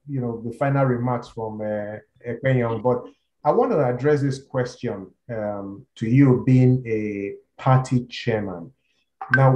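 Middle-aged male moderator, mellow tone, measured and hesitant, roomy video call sound. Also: medium pitch. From Nigeria. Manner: measured, hesitant, many fillers as he frames questions. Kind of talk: panel discussion moderating. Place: indoor room.

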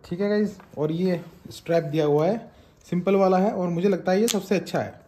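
A man's voice: high voice, a little fast, mellow. A man in his thirties from India, casually unboxing and reviewing a helmet for viewers, mixing in English phrases. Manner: chatty, addresses viewers as guys and friends, mixes in English phrases. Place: small home room with shelves of trophies, indoors.

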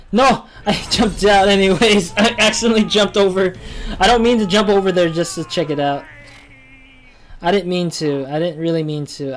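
A young man with a Chinese accent giving casual, rambling commentary while playing a game, often muttering to himself and correcting himself. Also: on the high side. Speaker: gaming channel host. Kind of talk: casual let's-play commentary.

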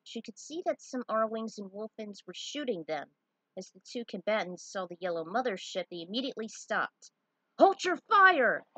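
A woman's voice: mid-range voice, expressive, normal speed, slightly rough, no echo, poor audio. A woman reading a story aloud in an informal amateur way, stumbling now and then over words. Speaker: fan fiction reader. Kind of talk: amateur story narration read aloud, with asides.

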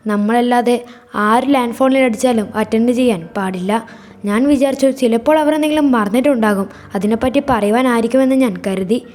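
A woman narrates a scripted first-person horror story in a measured, suspenseful way. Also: fairly high pitch, darkish tone.

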